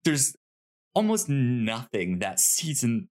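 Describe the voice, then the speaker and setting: A man co-hosting a casual discussion of a TV show, halting and repeating words as he thinks. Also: medium pitch, very animated, darkish tone. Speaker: podcast co-host.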